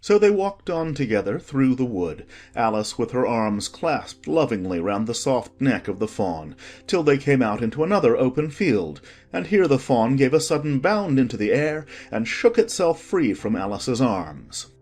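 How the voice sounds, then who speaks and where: A narrator reading a children's storybook in an expressive, scripted storytelling manner. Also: mid-range voice, normal speed, few pauses. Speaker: audiobook narrator.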